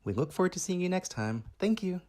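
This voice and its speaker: Expressive children's storyteller with lively pitch. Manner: gentle, expressive, deliberate enunciation for young children. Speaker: storyteller and channel host. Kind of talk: children's picture book read-aloud.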